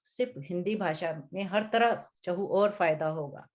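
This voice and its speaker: A woman's voice: lowish voice, a little slow, lo-fi sound. A woman moderating an online panel, earnestly pressing a guest with long questions and urging him to share ideas. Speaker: presenter and moderator.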